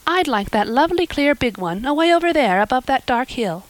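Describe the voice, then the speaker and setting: A breathless, gushing orphan girl with a high, bright voice that leaps up and down as she talks, put on by a woman reading a story. Her pitch swings widely, and the sound is close and dry. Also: animated, a little fast, dry recording. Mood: eager, dreamy. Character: eager chattering red-haired orphan girl.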